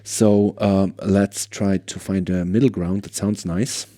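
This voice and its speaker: A man with a fairly low, very mellow voice talks through a gear demo in a casual, musing way. The recording is dry and close, with some guitar music around the speech. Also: little pitch movement, slow pace, dry recording. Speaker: guitar gear reviewer and host. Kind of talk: casual product demo talk.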